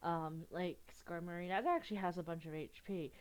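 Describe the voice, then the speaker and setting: Young woman, lowish voice, sniffly head cold, chatty card-game commentary with giggles. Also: brisk. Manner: chatty, giggly, restarts often. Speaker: gaming youtuber.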